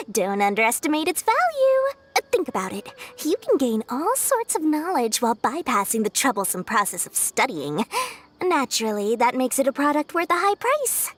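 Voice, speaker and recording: A woman's voice: sits high, wildly swooping pitch, moderate pace. A woman voicing a shrewd, playful young female merchant in a video game, chatty and sly. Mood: explaining, shrewd. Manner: sly, chatty, smooth salesmanship.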